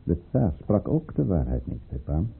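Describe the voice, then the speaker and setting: An actor playing a scheming, high-ranking courtier in a radio play, with measured, formal, probing speech. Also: male voice, sing-song, vocal fry, a little hiss or hum, exaggerated voice. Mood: probing, coaxing. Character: scheming, silky high-ranking male courtier conspiring against the tsar. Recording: muffled, narrow-band old radio recording.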